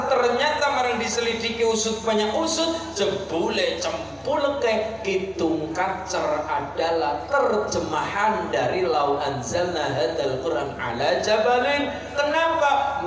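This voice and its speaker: A man with a Javanese accent preaching a folksy Islamic sermon, emphatic and repetitive, with rhetorical questions and Quran quotes. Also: fairly high pitch. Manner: emphatic, pausing, repetitive delivery. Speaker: preacher at an Islamic study gathering. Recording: echoey PA reverb, distant, noisy gathering.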